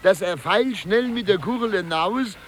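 An actor playing a jovial, indulgent small-town gentleman telling anecdotes in a 1950s German radio play, on a noisy old tape. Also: high-pitched, moderate pace. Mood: amused, indulgent.

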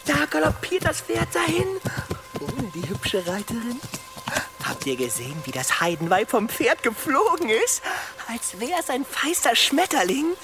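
A woman's voice: on the high side, big pitch swings, music in the background. A woman playing a jeering, scolding, spiteful young rogue in a German radio drama. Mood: gleeful mocking. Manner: jeering, exclaiming, drawn-out words.

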